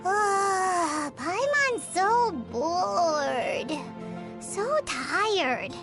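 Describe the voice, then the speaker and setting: Tiny greedy fairy sidekick, childlike squeak, very slow drawn-out delivery, whiny, video game, music behind. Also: female voice, sing-song, full character voice. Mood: bored, whiny. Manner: refers to self in third person, whiny, exclaiming. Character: tiny floating fairy girl companion, childish, cheeky, greedy, food-loving. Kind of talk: video game character acting.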